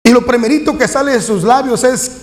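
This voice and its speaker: High-voiced male pastor preaching. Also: fairly quick. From Mexico. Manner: preaching with a rhetorical refrain and questions to the congregation. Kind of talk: sermon.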